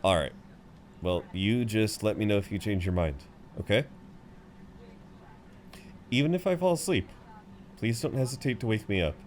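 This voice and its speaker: A man's voice: on the low side, animated, normal speed, pauses often, slight background noise, lightly acted. A man playing a chatty, good-humoured frequent flyer who rambles kindly to a seatmate, in an intimate roleplay audio. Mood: concerned, gently flirty. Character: chatty, friendly tall male frequent flyer comforting seatmate. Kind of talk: intimate roleplay audio acting, one side of conversation.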